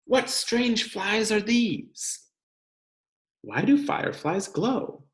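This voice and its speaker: An American man with a medium-pitched voice reads to children from a picture book. His intonation is lively and expressive, with deliberate storyteller phrasing. The room around him adds a clear echo. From California, United States. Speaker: read-aloud host, teacher reading to children. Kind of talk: children's picture book read-aloud with casual asides. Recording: echoey room.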